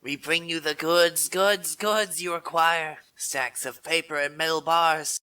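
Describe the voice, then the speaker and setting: A man's voice: a little high, steady tone, unhurried, sharp tone, clean tone. A man voicing a chittering insect robot servant that repeats its words in an echo, in a comic dub. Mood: eerie, servile.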